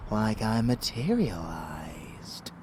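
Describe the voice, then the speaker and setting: Arrogant male vampire lover, ASMR roleplay. Extremely unhurried, theatrical, possessive delivery over clear background noise. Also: fairly low pitch, very animated, full character voice. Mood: smug, seductive. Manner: theatrical, teasing, breaking into whispers. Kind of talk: intimate ASMR audio roleplay.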